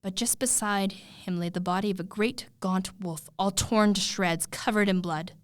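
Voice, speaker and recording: A woman retelling a fairy tale as a storyteller, in a dramatic, expressive way with suspenseful pauses. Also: medium pitch, dry recording, studio quality.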